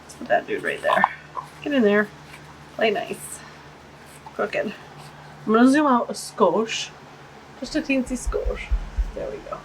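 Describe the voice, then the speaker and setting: A woman's voice: fairly high pitch, huge pitch swings, a little slow, long pauses, noisy background. A woman hosting a casual art-supply unboxing vlog, chatty and gushing, with a stuffy, congested voice. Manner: gushing, chatty, cooing over tiny things. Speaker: art channel host. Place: home art studio, tabletop overhead shot. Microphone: camera-mounted shotgun mic.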